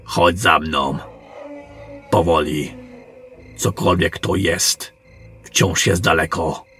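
Fantasy audio drama: cautious, commanding monster-hunting general male actor, deep, slow, wry, light music beneath. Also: sharp tone, full character voice. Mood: tense, commanding.

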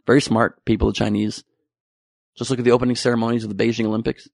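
A man's voice: mid-range voice, level tone, quick pace, creaky, a little mellow, no room sound, clean studio sound. A young man giving a comedic, profane monologue about the news with joking asides. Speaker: comedy commentary host.

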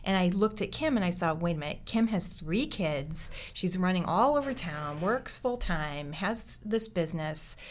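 A woman's voice: fairly low pitch, animated, moderate pace, hardly any pauses, slight background noise. A woman with a Boston-area accent, hosting a podcast and chatting in a reflective, storytelling way. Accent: Boston-area American. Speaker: podcast host. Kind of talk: casual chat.

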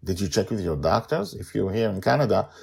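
A man voicing a brisk, practical woman in a storytelling podcast, reassuring and excitable, with an emphatic, eager manner. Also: on the low side, creaky, breathy edge, voice pushed up, exaggerated voice. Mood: brisk concern.

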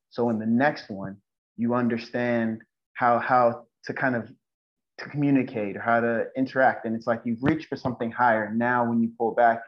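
Man giving earnest advice over dull, lo-fi audio. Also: medium pitch. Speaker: UX designer and mentor, interview guest. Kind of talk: casual interview chat.

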